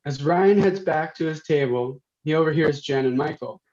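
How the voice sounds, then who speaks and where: A man's voice: fairly high pitch, moderate pace, a little mellow. A man reading scripted stage directions as narration at a table read. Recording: muffled, dull video-stream audio.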